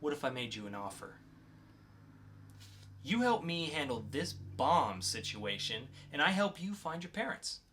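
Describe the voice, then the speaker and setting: Man playing a calm, bright-voiced mysterious interrogator. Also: on the high side, a little hiss or hum. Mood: coaxing, firm. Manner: formal, measured, deliberate. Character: calm, probing mysterious male interrogator, formal and coaxing.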